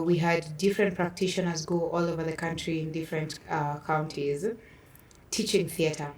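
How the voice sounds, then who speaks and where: Low-voiced Kenyan woman in a roomy space. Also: a little mellow, a little hiss or hum. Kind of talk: conversational panel discussion. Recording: roomy echo, distant from mic.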